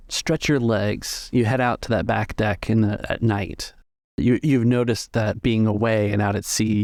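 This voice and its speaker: Gravelly, lowish-voiced male game master. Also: a little mellow, no room sound, studio quality. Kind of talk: improvised tabletop game narration. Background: music.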